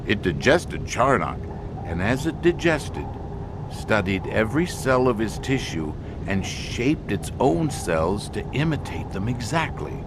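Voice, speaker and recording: Grave male scientist played by a man, audio drama. Lowish, very varied intonation, deliberate halting pauses, clear background noise. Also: sing-song. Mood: grave, explaining. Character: grave male expedition scientist explaining an alien menace.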